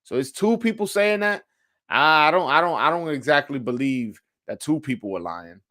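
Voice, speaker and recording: A man's voice: on the high side, lively intonation, normal speed, sharp tone. Casual, slangy man talking boxing. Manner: informal, slangy, frequent swearing. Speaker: host of live boxing call-in show. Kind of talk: casual sports chat answering live commenters.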